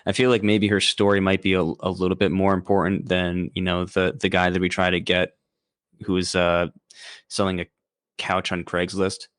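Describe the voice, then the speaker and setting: A male podcast co-host with a low, smooth voice, recorded dry and close. He muses in a halting way with plenty of fillers. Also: little pitch movement, a bit bright, dry recording. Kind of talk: casual chat.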